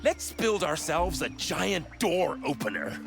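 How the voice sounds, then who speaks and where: A woman playing an anxious, whimsical young sidekick in a comedic animated game, fretful and exclamatory. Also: low voice, a little slow, gravelly, exaggerated voice. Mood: worried. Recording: music behind the voice.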